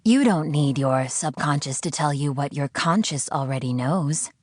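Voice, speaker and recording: A woman's voice: low-pitched, normal speed, a little mellow, no echo. A woman voicing a condescending, sanctimonious captor who interrogates a prisoner in an audiobook. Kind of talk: audiobook character acting.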